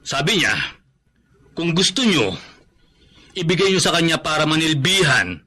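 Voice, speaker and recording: A man's voice: fairly high pitch, very animated, unhurried, sharp tone, full character voice. A man playing a cold, curt henchman who makes a creditor's demands in a Filipino radio drama. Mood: cold, insistent. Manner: firm, curt.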